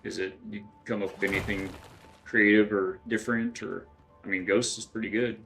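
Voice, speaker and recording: A man's voice: lowish voice, little pitch movement, moderate pace, vocal fry, a bit bright, faint music behind. A middle-aged man from West Texas chatting casually while he plays a game, rambling and thinking aloud with lots of fillers and restarts. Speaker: game streamer. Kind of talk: casual gameplay chat with chat readers.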